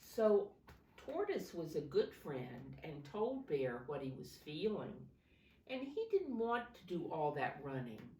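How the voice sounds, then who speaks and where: Slow, expressive woman reading to preschoolers. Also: deep voice. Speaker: preschool teacher reading aloud. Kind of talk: expressive storybook reading with simple explanations for young children. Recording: roomy echo, distant microphone.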